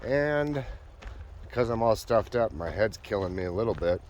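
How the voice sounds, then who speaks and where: A man's voice: lowish voice, moderate pace, lo-fi sound, slight background noise. A young man vlogging on a backpacking trip, talking casually with many fillers, his voice nasal from a cold and a sore throat. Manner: relaxed, rambling, many uh fillers. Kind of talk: casual vlog talk to camera. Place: outdoors on a hiking trail.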